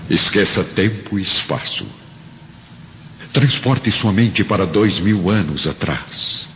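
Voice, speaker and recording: Very deep, solemn Brazilian male narrator. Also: animated, fairly bright tone, slight background noise. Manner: solemn, grandiose storytelling with deliberate pauses. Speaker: narrator of an audio drama. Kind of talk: dramatic scripted radio drama narration. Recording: long hall reverb over music. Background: music.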